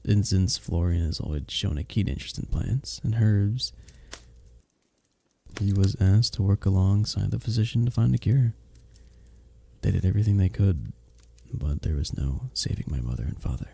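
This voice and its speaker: A man playing a gentle, earnest village innkeeper in a medieval ASMR roleplay, speaking softly and intimately in formal, old-world phrasing. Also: sits low, expressive, normal speed, vocal fry, breathy edge, heavily acted. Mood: solemn, earnest. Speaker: audio roleplay performer. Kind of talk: ASMR roleplay, intimate one-sided conversation.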